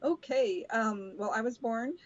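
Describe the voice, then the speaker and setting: Soft-spoken older woman on a muffled call. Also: a little high. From Brazil. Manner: soft-spoken, halting with many pauses and fillers. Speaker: guest, host's mother. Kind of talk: casual remote interview.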